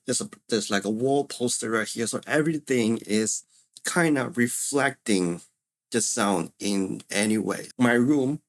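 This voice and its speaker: A man's voice: mid-range voice, measured pace. A man presenting an audio tutorial in a casual, explanatory way, repeating himself for emphasis, recorded on a studio microphone in a home room. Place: small untreated home room with furniture. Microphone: close studio microphones, condenser then dynamic.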